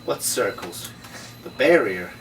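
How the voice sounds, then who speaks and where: A man playing a tough, suspicious, hot-headed noir detective with blunt, gruff delivery in a script table read. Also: on the low side, lively intonation, takes it slowly, fairly bright tone, full character voice. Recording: distant mic, noisy room.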